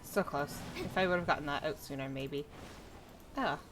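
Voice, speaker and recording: A woman with a slightly low voice streams a video game. She talks casually through her play as live commentary, with game music clearly heard behind her. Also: normal speed.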